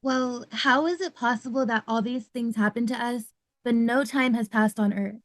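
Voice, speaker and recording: A woman's voice: a little high, steady tone, moderate pace, no echo, natural voice. A woman reading the part of an anxious, incredulous man demanding explanations at a table read. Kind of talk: amateur script table read.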